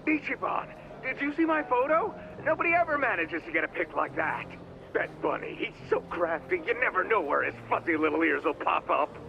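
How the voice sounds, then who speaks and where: A man's voice: high-pitched, expressive, brisk, vocal fry. A man playing a giddy, teasing fanboy on a phone call in a video game, gushing and full of playful puns. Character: giddy, teasing, geeky mascot-obsessed male caller. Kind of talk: video game cutscene acting. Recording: dull, noisy phone call.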